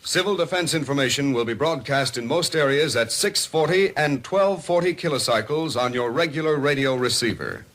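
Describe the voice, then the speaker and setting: A man with an American accent playing a formal 1950s radio station announcer, reading an emergency alert in a measured, impersonal way. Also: mid-range voice, a bit bright, lightly acted. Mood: official, measured.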